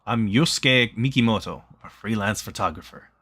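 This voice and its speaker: Guy doing a sleazy photographer dude from a visual novel, brash, smarmy, lowish voice. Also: normal speed, fairly bright tone, husky, no echo. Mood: serious, smarmy.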